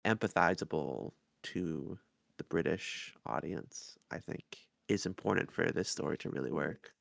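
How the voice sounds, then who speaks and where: A man co-hosting a podcast, discussing things in a measured, thoughtful and somewhat hesitant way. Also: deep voice, gravelly, a bit bright, no echo. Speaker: podcast co-host. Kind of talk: casual analytical discussion.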